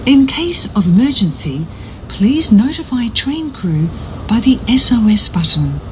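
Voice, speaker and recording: Woman, formal scripted announcements, slow and deliberate, faint moving-train noise behind her. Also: a little high, sing-song, darkish tone. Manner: measured, formal, courteous. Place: inside a moving bullet train. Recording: noisy train cabin, announcement over speakers. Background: train running noise.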